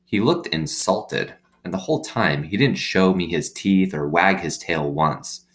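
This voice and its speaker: A man reading a children's story aloud like a teacher, pausing to explain simply and check in with little questions. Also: low-pitched, animated, a bit bright. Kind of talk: read-aloud with explanatory asides.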